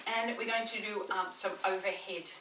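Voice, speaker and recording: A woman's voice: medium pitch, fairly even intonation, moderate pace, sharp tone, a little hiss or hum. Chatty trainer woman, slight Kiwi accent. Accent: slight New Zealand. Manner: chatty, encouraging, talks a lot. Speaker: personal trainer. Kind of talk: guided workout instruction. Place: small indoor room. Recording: roomy echo, distant mic, faint ambience.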